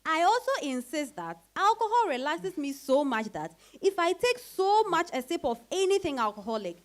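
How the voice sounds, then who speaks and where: High, animated busy mother played by a woman. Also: normal speed. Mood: animated, insistent. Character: Opokuya, busy, outspoken married mother of four. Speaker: actor in a dramatized reading. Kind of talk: dramatized stage-style reading.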